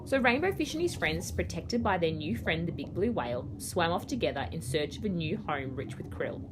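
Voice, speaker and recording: A woman with an Australian accent reading a children's story aloud as a teacher, in an expressive storytelling manner. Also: on the low side, brisk. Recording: clear background noise.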